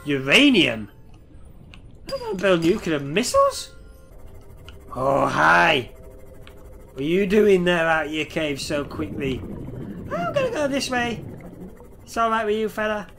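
Stuffy nasal guy w a cold, high lively voice, swears at sea creatures, game music faint behind. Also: measured pace, vocal fry, long pauses. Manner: swears often and talks to the creatures on screen. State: head cold, stuffy nose. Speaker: gameplay streamer. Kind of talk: casual gameplay commentary. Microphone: headset.

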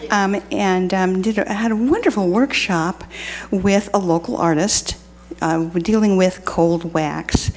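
An older woman hosting a lecture series, introducing the guest speaker at a podium microphone in a rambling way with many fillers. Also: fairly low pitch. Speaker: program host introducing a speaker. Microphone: podium microphone on a stand.

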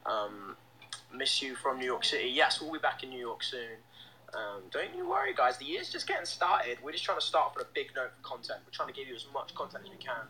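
A man's voice: mid-range voice, big pitch swings, brisk, pushed and bright, slight background noise, poor audio. Upbeat young man on a phone livestream. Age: young adult. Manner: upbeat, chatty, reads out fan comments. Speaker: band member hosting a livestream. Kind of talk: casual livestream chat reading comments. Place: indoors, small room. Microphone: phone held close to face.

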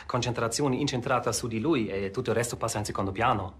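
An actor playing a polite, composed Italian pianist. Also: male voice, medium pitch, fast talker, close to an everyday voice.

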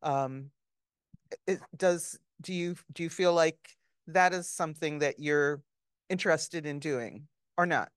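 An older woman interviewing an author on stage, asking questions haltingly with many ums, on a handheld mic. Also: low voice, clear, resonant tone, no room sound, clean studio sound. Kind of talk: onstage author conversation. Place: small stage before an audience, indoors.

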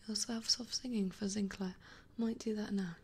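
A young woman streamer whispering softly and gently, chatting with viewers in an ASMR livestream. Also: medium pitch, fairly even intonation, fairly quick, slightly rough. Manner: soft whispering, gentle and reassuring.

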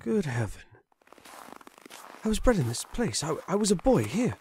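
A man's voice: high-pitched, very animated, moderate pace, a little mellow, a little hiss or hum. A man playing an old miser in an audio drama, fearful and wistful, stammering and exclaiming. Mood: excited, nostalgic.

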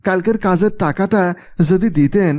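A man's voice: sits high, moderate pace, darkish tone, natural voice. A man voicing a humble, devout poor village labourer in a cartoon, deferential and imploring. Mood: pleading. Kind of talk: cartoon voice acting.

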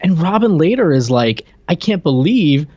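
A man's voice: fairly high pitch, very animated, normal speed, darkish tone, no room sound. A man, co-hosting a casual film discussion from home. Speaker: podcast co-host. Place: small room at home. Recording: dry, slightly dull home-stream audio.

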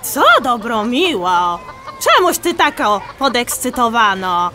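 Woman playing a bright, high-voiced doubting peasant. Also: sing-song, slow pace, full character voice. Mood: incredulous. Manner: rustic dialect, incredulous. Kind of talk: audio drama acting.

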